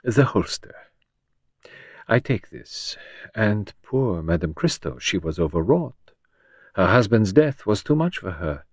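A man doing a gentle detective. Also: on the low side, very animated, a little slow, pauses often, no echo, studio quality, full character voice. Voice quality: precise, formal phrasing. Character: fussy foreign male detective, gentle, weary. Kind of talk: audiobook character voice.

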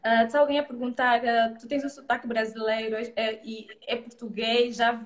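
A woman hosts a live online chat in a fairly high voice. She often hesitates, fills pauses with hums and breaks in to agree. Her call audio sounds roomy. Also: level tone. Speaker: host of a live show. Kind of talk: casual live video-call interview. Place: indoors, plain magenta wall. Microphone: video call from a laptop.

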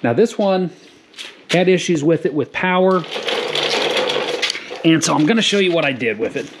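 A middle-aged man hosting a farm channel, explaining a do-it-yourself project in a relaxed, rambling way with lots of fillers, filmed in a garage on an action camera. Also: fairly high pitch, normal speed, very noisy. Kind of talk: casual how-to video narration. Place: garage, then outdoors on a farm lawn.

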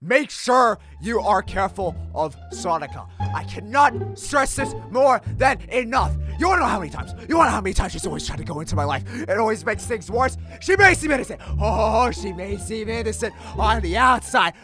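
Man voicing a frantic, high-pitched cartoon hedgehog guy. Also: very animated, a little fast, few pauses, sharp tone, soft background music, exaggerated voice. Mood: exasperated ranting. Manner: overdramatic, stammering, repeats phrases. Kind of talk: comic dub cartoon acting.

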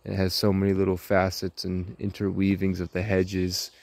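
A man in his twenties giving a slow, rambling monologue in short, halting phrases while walking outdoors. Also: sits low, monotone, creaky, a little mellow. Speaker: vlogger. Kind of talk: slow rambling monologue, ASMR-style walk.

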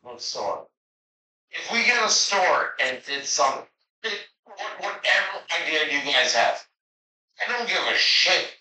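A man talks casually over a phone line. His voice is fairly high and very piercing, coming out distant and echoey as if from a speaker in a room. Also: expressive, takes it slowly, pushed and bright. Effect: phone call. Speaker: friend on a phone call. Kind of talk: casual chat.

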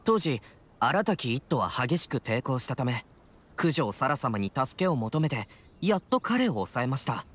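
An actor playing an earnest young samurai guard in an anime game, reporting in a polite official tone. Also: male voice, medium pitch, very animated, a little slow, fairly bright tone, slight background noise. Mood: earnest, aggrieved.

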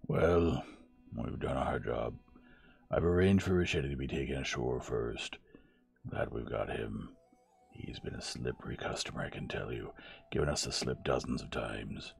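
A man voicing a gruff, hard-nosed investigator in an audiobook, clipped and matter-of-fact. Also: a bass voice, normal speed, soft background music, pitched below the performer's own voice, full character voice. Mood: weary satisfaction.

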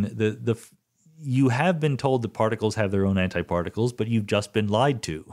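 A man's voice: on the low side, darkish tone. A man hosting a podcast, talking through listener questions in a casual, explanatory monologue with conversational check-ins and plain, informal wording. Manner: conversational, often checking with "right?".

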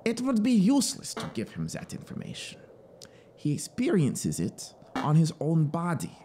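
A man voicing a zealous, self-satisfied young penal colony officer in a storybook reading, with an emphatic, deliberate, proudly explanatory manner. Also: fairly high pitch, huge pitch swings, background noise, voice pushed up. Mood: matter-of-fact, severe.

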